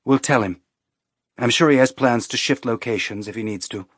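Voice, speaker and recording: Man reading as calm, dignified woman fugitive. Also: medium pitch, breathy edge, no echo, studio quality, natural voice. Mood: measured, serious. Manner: measured, composed, earnest. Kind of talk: audiobook character acting.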